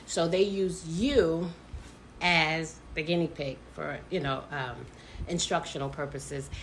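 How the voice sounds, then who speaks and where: A middle-aged woman, a mother talking with her daughter, measured and hesitant, with many pauses and fillers. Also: low-pitched, steady tone, a little hiss or hum. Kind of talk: casual conversation.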